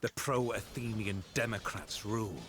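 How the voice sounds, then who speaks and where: Deep, ruthless Spartan admiral voiced by a man. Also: measured pace, close to an everyday voice. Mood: stern, commanding. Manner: theatrical, commanding, contemptuous. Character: ruthless, bitter, glory-hungry male Spartan admiral. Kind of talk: dramatic video game acting.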